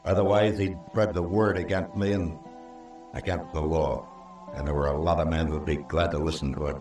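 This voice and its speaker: A weary frontier marshal with an extremely low voice. He talks in terse, measured, sardonic lines in old-time radio western style. The recording is roomy and distant. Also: fairly even intonation, a little mellow, faint music behind. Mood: somber narrating. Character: stern, weary Old West lawman, male marshal.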